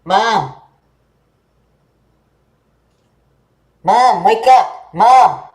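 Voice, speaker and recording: A woman's voice: mid-range voice, expressive, drawn-out words, darkish tone, reverberant. A woman playing an earnest, eager and frank young boy in a staged play reading. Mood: urgent. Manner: earnest, blunt, childlike.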